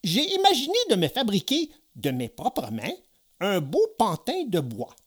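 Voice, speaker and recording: A storyteller voicing a touchy, excitable little old man in a children's storybook tale, quick to indignation. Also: mid-range voice, breathy edge, pitched above the performer's own voice, heavily acted. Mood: sprightly, eager. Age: older. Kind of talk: storybook character voice.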